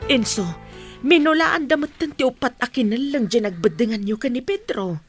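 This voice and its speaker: A woman's voice: a little high, lively intonation, normal speed, over music, close to an everyday voice. A woman with a Filipino accent playing an anxious, indignant village farm wife in a radio drama. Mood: alarmed, indignant.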